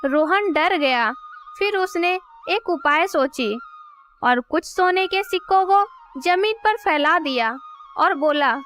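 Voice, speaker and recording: A woman's voice: very high-pitched, animated, takes it slowly, a bit bright. A woman narrating a scripted children's story as a storyteller. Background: music.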